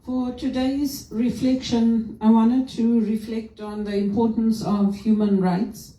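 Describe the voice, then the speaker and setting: A woman from Zimbabwe speaks in a fairly high, mellow voice. She gives an informal, opinionated reflection in an earnest way. The sound is roomy, with clear echo. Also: level tone, slow. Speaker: vlogger giving a personal reflection. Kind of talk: informal spoken reflection, part religious, part personal grievance. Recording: roomy echo, distant from microphone.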